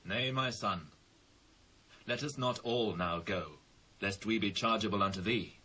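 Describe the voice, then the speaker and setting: A British actor playing a grave, fatherly king in a dramatised Bible, measured and authoritative in archaic English. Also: fairly low pitch, sharp tone, slight background noise, rough recording. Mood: reluctant.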